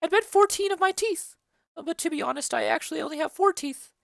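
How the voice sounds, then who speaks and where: A woman voicing a chattering, bawdy old female nurse in a dramatic reading of a play, rambling and loud. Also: very high voice, animated, fast talker, vocal fry, no echo, clean studio sound, full character voice. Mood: gossipy. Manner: loud, rambling, giggly storytelling.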